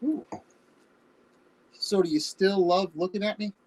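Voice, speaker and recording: A woman's voice: mid-range voice, a little slow, darkish tone, natural voice, poor audio. A woman acting a defensive but playful long-married spouse in an amateur comedy play reading, offhand and deflecting. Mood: playful, wheedling.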